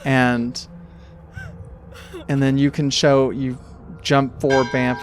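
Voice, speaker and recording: Man narrating over music, pausing to think. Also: mid-range voice. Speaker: game master. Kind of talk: improvised tabletop game narration. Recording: music under the voice. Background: background music.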